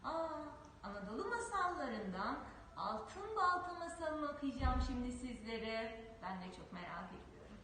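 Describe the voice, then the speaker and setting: A preschool teacher reading a folk tale aloud to children in a slow, expressive storytelling manner, recorded in a very echoey room with the voice off-mic. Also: female voice, fairly high pitch, far from the mic, slight background noise.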